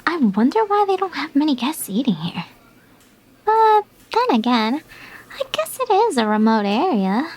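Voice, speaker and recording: A woman's voice: high-pitched, big pitch swings, measured pace, a little mellow. A woman playing an amused, gossipy young woman who chats to herself in an audio drama. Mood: gleeful, musing. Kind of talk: audio drama character acting.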